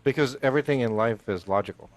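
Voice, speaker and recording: A man's voice: mid-range voice, fast. A man in his forties from the Philippines, co-hosting a podcast in a casual, rambling way with many restarts. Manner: rambling, restarts, laughs often. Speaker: podcast co-host.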